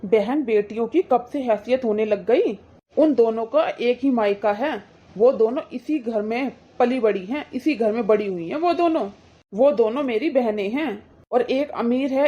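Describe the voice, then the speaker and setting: The recording is dull and slightly muffled. A woman acts out an indignant brother from a cartoon moral story, defending his sisters in a high, mellow voice. The delivery is reproachful and insistent, full of pointed questions. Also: natural voice. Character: indignant protective brother scolding his wife.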